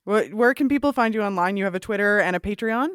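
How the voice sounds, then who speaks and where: A woman chats as a podcast interviewer. Her voice sits at a middle pitch and she asks casual questions. The recording is dry. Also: fast, fairly bright tone, smooth, no echo.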